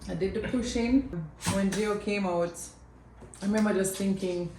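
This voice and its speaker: A young Jamaican woman casually telling a family story to camera, chatty and lively, laughing often between lines. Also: medium pitch, normal speed. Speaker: mother telling her son's birth story. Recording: echoey room with faint background noise.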